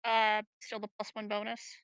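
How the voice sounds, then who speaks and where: A woman's voice: mid-range voice, monotone, moderate pace, fairly bright tone, clean tone, no echo. A woman chatting casually and jokingly as a player in a tabletop role-playing game. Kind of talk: casual chat.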